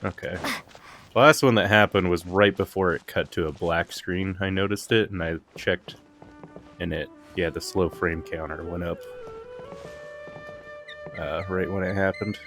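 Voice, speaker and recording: A very deep-voiced man chatting casually on a game stream. He comments loosely as he plays, with music clearly audible behind him. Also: fairly even intonation, measured pace, long pauses.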